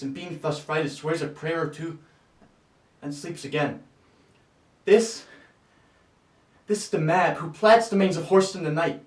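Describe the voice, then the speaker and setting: A nineteen-year-old man playing a quick-witted young Shakespearean storyteller in a stage monologue, swinging theatrically between hushed and forceful delivery. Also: a little high, voice pushed up. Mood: mounting agitation. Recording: roomy echo, distant mic.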